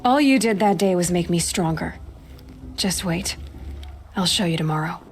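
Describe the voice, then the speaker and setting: Actress as defiant young outcast huntress. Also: female voice, fairly low pitch, lively intonation, moderate pace, slight background noise, natural voice. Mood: defiant, scornful. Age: young adult. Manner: sharp-tongued, self-assured. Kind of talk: video game voice acting.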